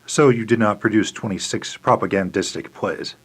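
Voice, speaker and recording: A man's voice: lowish voice, normal speed, husky. A man playing a stern 1930s congressman questioning a witness in a radio theater reading. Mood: inquisitorial.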